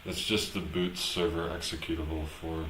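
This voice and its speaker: A man's voice: a bass voice, little pitch movement, moderate pace, a bit bright. A man talking casually and unscripted on a live stream, hesitant and rambling as he thinks aloud. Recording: echoey room, clear background noise.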